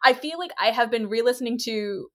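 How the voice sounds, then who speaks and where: A woman with a slightly high voice chatting casually on a podcast. She gushes and rambles, filling gaps with "um" and "like.". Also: brisk, a bit bright. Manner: gushing, rambling with fillers.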